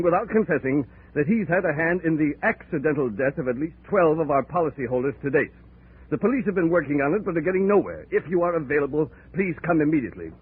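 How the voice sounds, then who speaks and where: An actor playing a fussy, fretful insurance manager in 1940s radio style, flustered and officious. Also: male voice, on the high side, breathy edge. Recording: muffled, fuzzy old radio recording.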